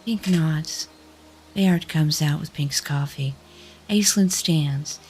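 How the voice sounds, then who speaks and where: A woman's voice: low voice, slow, darkish tone, soft background music, low-quality recording. Woman narrating a fantasy story. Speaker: narrator and lead actor.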